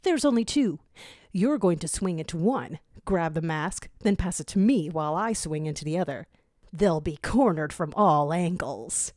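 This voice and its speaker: A woman's voice: fairly low pitch, animated, normal speed, darkish tone, no echo, clean studio sound, lightly acted. A woman voicing a smug, scheming male jackal commander with a self-assured, grandiose manner in an audio story. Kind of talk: fan fiction audio story reading.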